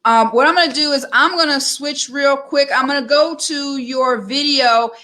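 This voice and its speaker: Woman, talk show host, upbeat, affirming, bright voice, casual live chat. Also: high-pitched. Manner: upbeat and affirming, quick asides.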